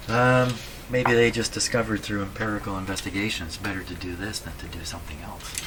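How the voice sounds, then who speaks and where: A man thinking aloud in a casual, halting way as he explains a repair at his workbench, often putting questions to himself. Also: on the low side, steady tone. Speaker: radio repair hobbyist, host. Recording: clearly noisy room recording.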